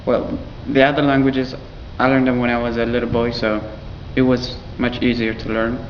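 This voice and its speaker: A teenage boy from Ethiopia whose first language is Amharic, giving brief, halting answers as a student in an interview. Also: mid-range voice, flat delivery. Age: teens. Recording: dull lo-fi recording with audible noise.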